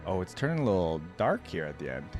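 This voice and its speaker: A man's voice: deep voice, sing-song, fast. A man giving casual, slangy, enthusiastic reaction commentary as a video host. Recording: music playing behind the voice. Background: game theme music.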